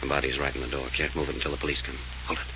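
Jack Packard, a brisk detective. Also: male voice, extremely low pitch, little pitch movement. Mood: firm. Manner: brisk, firm, matter-of-fact. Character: tough, level-headed male adventurer detective. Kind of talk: 1940s radio acting. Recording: dull lo-fi old radio transcription with clear hiss.

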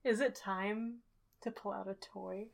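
A woman co-hosting a podcast, chatting casually about a film with dry, deadpan humor. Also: mid-range voice, a little slow, creaky, faint music behind. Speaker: podcast co-host. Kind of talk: casual movie review chat.

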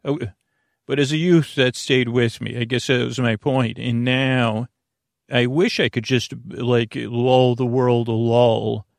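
A middle-aged American man with a gravelly voice hosting a sleep podcast, rambling haltingly, repeating himself and trailing off mid-thought. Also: mid-range voice, no echo, studio quality. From United States. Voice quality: gravelly, creaky. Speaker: podcast host.

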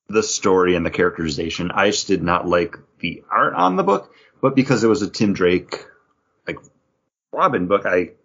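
A man with a fairly low, rough-edged voice talks casually as a podcast co-host. His delivery is conversational, like chat among fellow comic fans, and the recording is somewhat dull and lo-fi. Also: fairly bright tone.

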